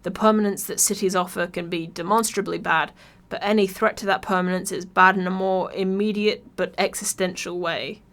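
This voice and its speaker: A woman's voice: fairly low pitch, steady tone, normal speed, fairly bright tone. A woman from Birmingham with an English accent reads a scripted video essay in a wry, informal, sweary way. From Birmingham, England.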